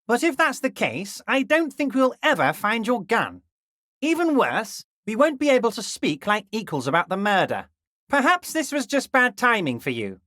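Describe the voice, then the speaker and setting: A man voicing a scheming, jovial middle-aged male union boss in a video game, smooth and ingratiating with a slyly patronizing edge, drawing out key words slowly. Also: sits high, bright, forceful tone, no room sound, clean studio sound, full character voice. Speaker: dock union leader character.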